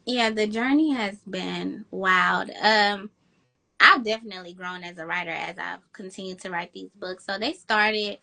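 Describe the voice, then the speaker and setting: A woman romance author in an interview, chatty and enthusiastic, with a high-pitched voice. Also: a little slow, vocal fry, bright, forceful tone. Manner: chatty, enthusiastic, quotes herself with "girl". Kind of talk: casual interview chat.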